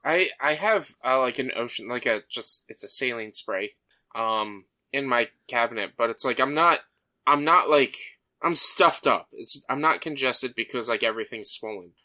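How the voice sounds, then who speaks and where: A man with a mid-pitched, bright voice talks loosely on a podcast, leaning on fillers as he goes. He also runs through the agenda for the episode. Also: lively intonation, moderate pace. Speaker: podcast co-host. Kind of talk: casual chat.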